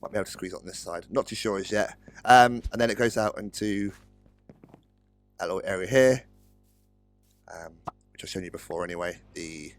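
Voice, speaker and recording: A British man with a slightly croaky voice, rambling casually through gameplay commentary and correcting himself as he goes. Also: low-pitched, moderate pace, pauses often. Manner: rambling, self-correcting, chatty. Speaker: Let's Play host. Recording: dry close-mic recording with faint music.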